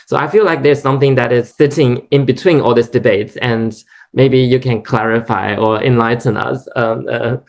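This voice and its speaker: A man's voice: mid-range voice, fairly even intonation, moderate pace, gravelly, darkish tone. A man whose first language is Chinese, asking a long, hesitant academic question at a lecture, full of restarts. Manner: hesitant, many restarts and fillers. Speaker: audience member asking questions. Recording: dull lo-fi recording.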